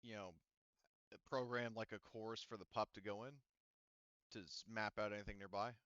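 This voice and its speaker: Low-voiced game master, patient and explaining. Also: male voice, fairly even intonation, normal speed, a bit bright, clear, resonant tone, dry recording, lo-fi sound. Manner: patient, explanatory, many fillers. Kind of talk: explaining rules in a tabletop game session.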